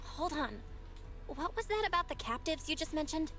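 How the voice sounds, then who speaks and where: Young anime game heroine, high lively voice, earnest, caring, expressive, faint music. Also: female voice, normal speed. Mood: curious, concerned. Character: earnest young woman, curious and caring protagonist. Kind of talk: anime-style game voice acting.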